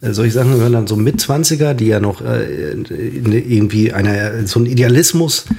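A tipsy man rambles and rants in a comedic chat. He stumbles through fillers and restarts, then climbs into a worked-up tirade. His voice sits at a middle pitch. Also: a little fast, a little mellow. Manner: ranting, hesitant with many fillers, gets worked up. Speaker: podcast co-host.

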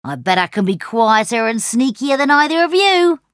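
Young fairy-tale girl acted by a woman: slightly high voice, pointed, competitive, audiobook delivery. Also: very animated, normal speed, fairly bright tone, dry recording, clean studio sound. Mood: excited, boastful.

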